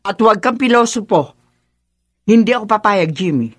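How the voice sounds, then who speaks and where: A woman's voice: medium pitch, lively intonation, normal speed, no room sound, studio quality, lightly acted. A woman playing a fretful, bossy older mother in a radio drama, with a Filipino accent and a nagging, exclamatory way of talking. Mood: scolding, worried. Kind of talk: Tagalog radio drama acting.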